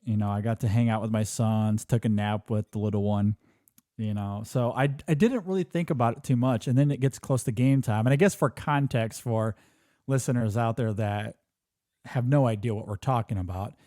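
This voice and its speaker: A man's voice: lowish voice, moderate pace, mellow, no room sound, clean studio sound. Earnest man telling a long personal story. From Michigan. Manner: earnest, rambling, repeats words while telling a story. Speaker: podcast host. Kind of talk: casual chat, personal storytelling.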